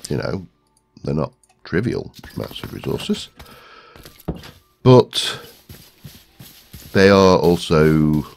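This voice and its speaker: Very deep gravelly man with a cold. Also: very animated, takes it slowly, long pauses, slight background noise. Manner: rambling, talks to himself while searching, many ums. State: stuffy with a head cold. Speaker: gaming video host. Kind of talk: casual gameplay commentary.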